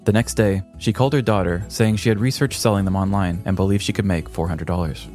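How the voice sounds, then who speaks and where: A man narrating a scripted true-crime comedy podcast in a theatrical, deliberate storytelling manner. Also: fairly low pitch, steady tone, a little mellow, soft background music.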